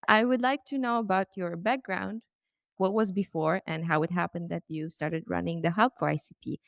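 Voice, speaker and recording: A woman hosts a podcast in a low voice with a gravelly edge. She sounds upbeat and welcoming. The recording is close and dry, with no room sound. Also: fairly even intonation, normal speed, no echo, highs cut off. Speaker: podcast host. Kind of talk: hosting a podcast interview.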